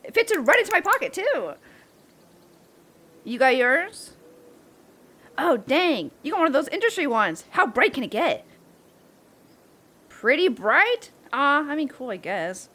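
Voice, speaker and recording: A playful young woman camper , acted by a woman in an audio drama. Her voice sits fairly high and leaps around with lots of animation. She is bold and excitable, teasing her friends in casual slang. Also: unhurried, pauses often, full character voice. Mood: excitable, loyal. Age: young adult. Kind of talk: roleplay audio drama acting.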